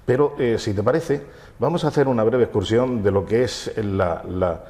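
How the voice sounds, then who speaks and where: A male TV interviewer speaks in a low, mellow voice. He pauses and fills gaps with many hesitations. The studio leaves a long reverb on his voice. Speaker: TV interviewer host. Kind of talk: TV interview conversation. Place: local TV studio.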